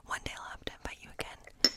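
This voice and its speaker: A woman playing a hospitable, eccentric woodland witch in an ASMR role play, speaking in a breathy whisper with a slow, coaxing, reassuring, rambling manner. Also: lightly acted. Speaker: ASMR performer.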